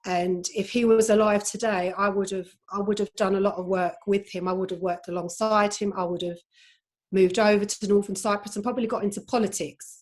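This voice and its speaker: A woman's voice: mid-range voice, a little mellow. A woman speaking at a conference, hesitant and reflective, with frequent "I mean" fillers. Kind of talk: reflective conversation.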